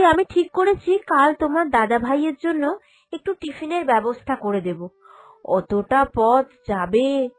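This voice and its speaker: A woman voicing a gentle, dutiful young village bride in a cartoon, speaking in a considerate, accepting way. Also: sits high. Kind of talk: cartoon character dialogue. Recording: music behind the voice.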